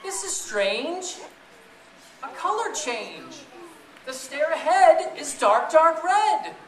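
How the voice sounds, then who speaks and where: A man reading a picture book aloud to a group of children in a playful, chatty way, pausing to ask them questions. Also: very high-pitched, sing-song, some chatter behind. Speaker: storybook reader to children. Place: echoey room with an audience of families. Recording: roomy, distant event recording with audience noise.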